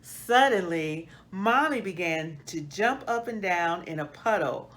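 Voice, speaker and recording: A middle-aged woman hosting a children's read-aloud, reading storybook style with deliberate, expressive phrasing. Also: on the low side. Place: small plain indoor room.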